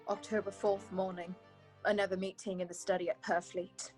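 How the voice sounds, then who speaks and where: An actress playing a haunted young wife under a vampire's spell in a radio play, measured and trance-like. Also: female voice, medium pitch, little pitch movement, over music, lightly acted. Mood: uneasy, eerie.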